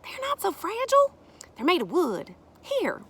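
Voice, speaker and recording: A woman voicing a gentle, patient, folksy country woman in a storybook, reassuring and plain-spoken. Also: extremely high pitch, wildly swooping pitch, moderate pace, voice pushed up, heavily acted. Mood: cheerful.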